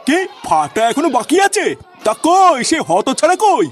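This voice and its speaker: Man voicing a high-pitched, excitable cartoon schoolteacher. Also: a little slow, soft background music, full character voice. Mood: eager, impatient. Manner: exclamatory, scolding or gushing. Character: excitable, foul-mouthed male schoolteacher. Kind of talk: comic cartoon dubbing.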